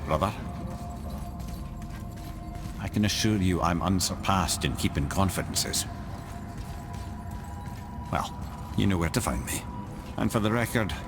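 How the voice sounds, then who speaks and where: A canny, talkative older sidekick, with a very animated, swooping delivery. He is wry and colourful, in video game style, with music behind. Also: low-pitched. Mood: wry, teasing. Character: witty, chatty, canny older male companion. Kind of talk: video game character acting.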